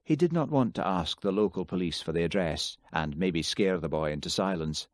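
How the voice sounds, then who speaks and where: A man narrating an audiobook in a measured, even storytelling manner. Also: low-pitched, no room sound, clean studio sound. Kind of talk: scripted narration.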